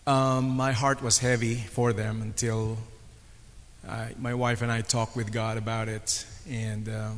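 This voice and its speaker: A man from the Philippines with a Filipino accent, a pastor telling his story with many pauses and fillers. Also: mid-range voice. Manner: halting, many fillers, flat delivery. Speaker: pastor and guest speaker. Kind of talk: personal testimony on a panel. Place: chapel on stage. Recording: long reverb of a chapel.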